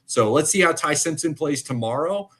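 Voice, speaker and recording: A man's voice: fairly high pitch, expressive, fairly bright tone, slightly rough. A man co-hosting a sports podcast, talking casually and thinking aloud with plenty of ums and restarts. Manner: thoughtful, halting with fillers. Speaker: podcast co-host and football analyst.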